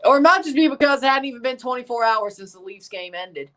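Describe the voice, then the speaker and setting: Male streamer, slightly hoarse, doing casual play-by-play with dry remarks to chat. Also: extremely high pitch, animated, fast, fairly bright tone. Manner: calls play like a commentator, dry asides to chat. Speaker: livestream watchalong host. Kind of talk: live match reaction and play-by-play.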